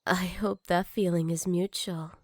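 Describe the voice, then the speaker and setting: A woman's voice: fairly low pitch, fairly even intonation, unhurried, dry recording. Woman acting a possessive villainess, intimate and dry. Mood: jealous, possessive. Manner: breathy, teasing, often dropping into whispers. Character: possessive, jealous female villain, flirty and teasing rival. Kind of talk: intimate ASMR roleplay.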